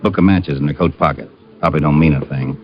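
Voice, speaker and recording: An actor playing a curt, sarcastic police lieutenant in an old radio detective drama. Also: male voice, extremely low pitch, quick pace. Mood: sardonic. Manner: curt, sardonic. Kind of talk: 1940s radio detective acting. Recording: muffled old radio recording.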